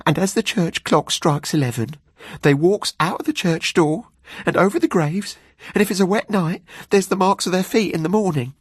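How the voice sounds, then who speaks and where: Rustic housekeeper woman, played by a male reader: superstitious, halting country talk, lifted pitch, storybook drama, very dry sound. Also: slightly rough, no echo. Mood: ominous, hushed awe. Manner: halting, ungrammatical country speech.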